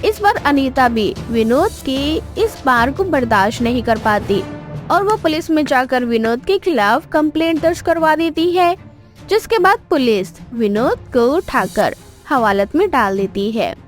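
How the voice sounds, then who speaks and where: Female storyteller, scripted dramatic narration, high pitch, very animated intonation, faint background music. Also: unhurried.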